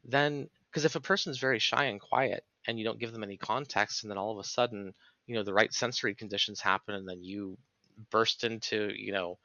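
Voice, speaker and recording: A man's voice: lowish voice, a little fast, bright, forceful tone, no echo, muffled recording. A man speaking as a podcast guest in reflective conversation, explaining things earnestly and analytically in long sentences.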